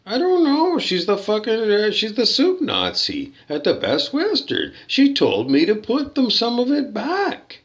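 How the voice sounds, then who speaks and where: A man's voice: sits high, wildly swooping pitch, a little slow, few pauses. A man ranting in a rambling, hesitant way, repeating himself and swearing when riled. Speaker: hotel guest reviewing his loot bag. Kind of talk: rambling complaint rant. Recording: dull lo-fi recording.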